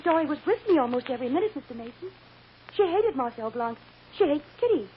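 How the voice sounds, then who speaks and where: An actress playing an anxious, devoted woman accused of a crime, speaking earnestly and haltingly in an old-time radio drama. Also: sits high, very animated. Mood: excited, insistent. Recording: muffled, hissy old radio recording.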